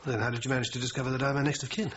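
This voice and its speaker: An actor playing an anxious, insistent brother in a radio crime drama, stammering and emphatic. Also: male voice, medium pitch, fairly even intonation, rapid-fire, natural voice. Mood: worried. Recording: dull lo-fi audio, loud noise.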